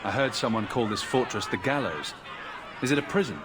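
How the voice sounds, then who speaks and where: An actor playing an earnest, direct refugee hero in a video game, polite and inquiring. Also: male voice, medium pitch, lively intonation, fairly quick, crowd noise, close to an everyday voice. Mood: questioning. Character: earnest, questioning young refugee mage hero. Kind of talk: video game character acting. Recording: clear background noise, outdoor ambience.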